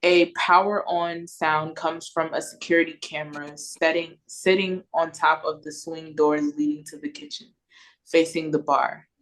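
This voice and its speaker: A woman's voice: deep voice, little pitch movement, measured pace, a bit bright, low-quality recording. A woman reading stage directions as narrator in a scripted read-through over a video call.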